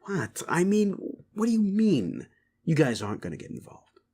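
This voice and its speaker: A man plays a lone-wolf troublemaker in an audio drama. He is brash and quick to defend himself. His delivery swings widely in pitch, with flippant, cocky bite. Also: huge pitch swings, gravelly, a little mellow, breathy edge, heavily acted. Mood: incredulous. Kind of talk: dramatic audio roleplay acting.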